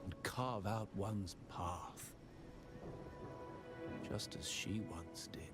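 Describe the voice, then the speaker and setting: A man voicing a refined, contemplative gentleman in a video game, deliberate and reflective, with music behind him. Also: low-pitched. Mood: pensive, solemn. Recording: loud background music.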